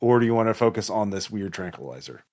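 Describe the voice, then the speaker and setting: A man with a fairly low voice acts as game master for a tabletop horror game. He improvises his narration in a halting way, working out his sentences as he speaks. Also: lively intonation, a little mellow. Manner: halting, thinking aloud.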